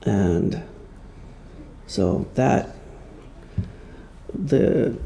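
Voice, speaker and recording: Halting, gravelly, mellow-voiced man. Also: medium pitch, expressive. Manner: halting, self-deprecating, hesitant. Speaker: author giving a presentation. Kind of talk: informal public talk from notes. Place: room at a public presentation. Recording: clear background noise, room ambience.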